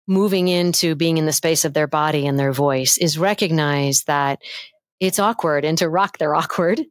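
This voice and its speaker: Middle-aged woman voice coach, lowish pitch, dry close sound, lively and playful as she talks. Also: moderate pace, dry recording. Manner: animated and playful, demonstrates pitch changes with her own voice. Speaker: voice coach and facilitator, guest. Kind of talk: casual interview, demonstrating voice techniques. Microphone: headphones with mic, remote call.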